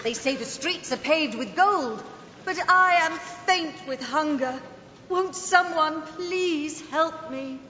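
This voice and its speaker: High, stagey pantomime wanderer. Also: female voice, takes it slowly, sharp tone, a little hiss or hum, heavily acted. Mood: plaintive. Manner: declamatory, stagey. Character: melodramatic pantomime player as a hungry young wanderer. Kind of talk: animated cartoon acting. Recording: dull lo-fi, long reverb, music behind.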